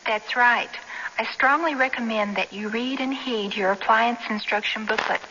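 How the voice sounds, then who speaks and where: Bright-voiced woman giving scripted safety advice. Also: fairly high pitch, moderate pace. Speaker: consumer safety expert. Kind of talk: scripted advice in radio announcement. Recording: echoing, faintly noisy lo-fi radio recording.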